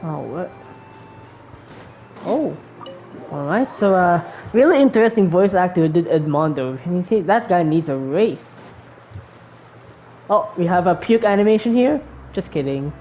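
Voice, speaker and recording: A woman's voice: on the low side, expressive, measured pace, long pauses, soft background music. A woman giving casual, offhand, sweary commentary as she plays a video game. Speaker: game streamer. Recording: dull lo-fi mic, faint noise.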